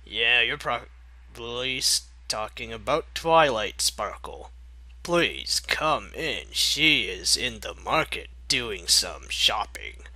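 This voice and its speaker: A friendly little boy dragon from a cartoon, voiced by a man, helpful and earnest. He talks at an unhurried pace with a bright tone. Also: mid-range voice, sing-song, dry recording, exaggerated voice. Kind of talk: fan fiction character reading.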